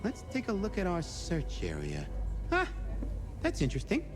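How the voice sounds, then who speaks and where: A man's voice: high-pitched, huge pitch swings, normal speed. An actor playing a flirtatious, theatrical male police records clerk in a video game, coy and playfully helpful, with music behind him. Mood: intrigued, informative. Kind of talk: video game dialogue acting.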